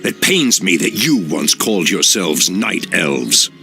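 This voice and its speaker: A man voicing an ancient, grave elf druid leader in a game cutscene, speaking in a deep, solemn voice. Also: sing-song, measured pace, gravelly, full character voice. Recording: voice over loud music.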